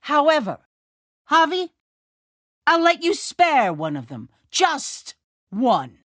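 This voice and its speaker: A woman playing a calculating, ruthless leader of a survivor town in a video game, speaking in a measured, condescending way with controlled menace. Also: sits high, a bit bright, no echo, clean studio sound, heavily acted. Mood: stern, menacing. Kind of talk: dramatic video game acting.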